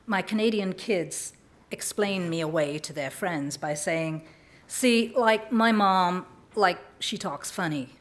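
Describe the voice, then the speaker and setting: A woman's voice: lowish voice, slow. An older woman from England with a slightly mid-Atlantic English accent, reading a wry personal story on a small cabaret stage at a stand mic. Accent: English, mid-Atlantic tinge. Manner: wry, acts out voices in quotes. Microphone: vintage stand mic.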